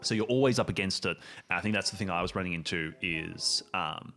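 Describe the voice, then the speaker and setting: A man talking casually as a game master, halting and emphatic as he thinks aloud and describes things. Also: low-pitched, pushed and bright. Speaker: show creator and game master. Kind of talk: casual chat and improvised game narration.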